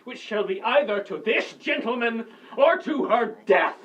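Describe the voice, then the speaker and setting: Guy doing an angry formal shakespeare dad, declaiming, high sharp voice. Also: animated, measured pace, full character voice. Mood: indignant. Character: indignant, demanding Athenian father in a Shakespeare comedy. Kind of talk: live Shakespeare reading.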